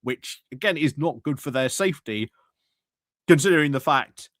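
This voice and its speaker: Male streamer commentator. Off-the-cuff, wry delivery, a little high-pitched, very dry sound. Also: animated, normal speed, a bit bright, no echo. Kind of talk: casual reaction commentary.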